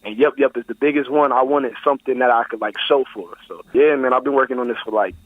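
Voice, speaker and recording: A man's voice: mid-range voice, fast talker, no echo. A nineteen-year-old man from Buffalo, New York, a rap artist talking about his music in a laid-back, slangy way as a guest on a phone interview. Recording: tinny phone line, dry.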